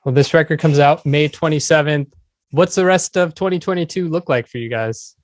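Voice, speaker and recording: A man's voice: fairly high pitch, normal speed, no room sound. A man hosting a podcast interview in a casual, informal, slangy way, reacting to his guest. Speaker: podcast host.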